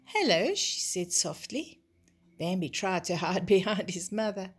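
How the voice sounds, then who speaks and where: A woman's voice: lowish voice, steady tone, measured pace, husky. An older woman storyteller reading a children's story expressively, with chatty asides that explain things simply.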